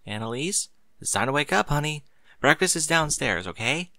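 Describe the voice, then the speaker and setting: A man's voice: a little high, animated, normal speed, sharp tone, no room sound, pitched above the performer's own voice. A man voicing an exasperated, stern mother of children in an audiobook, speaking in an emphatic, motherly way. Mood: gentle, coaxing. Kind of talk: audiobook character voice.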